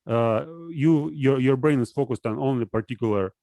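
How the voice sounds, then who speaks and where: Halting man explaining ideas, dry sound. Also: medium pitch, vocal fry, mellow, no room sound. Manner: halting, full of restarts and fillers while explaining. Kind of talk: casual conversation.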